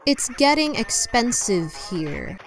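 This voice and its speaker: A woman's voice: a little high, very animated, slow pace, faint music behind, full character voice. A woman voicing a sassy teenage girl customer in a visual novel game, sarcastic and disdainful. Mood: annoyed, scornful.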